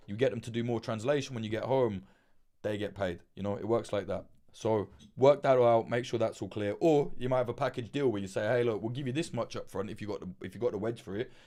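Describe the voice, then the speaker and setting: A man talks casually into a desk mic at his laptop on a livestream, with a slightly low voice. He is frank and swears freely, rambling and restarting his sentences as he answers viewer questions. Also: dark tone. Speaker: livestream host giving journalism advice. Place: indoors at a laptop with webcam. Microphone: desk mic through a mixer.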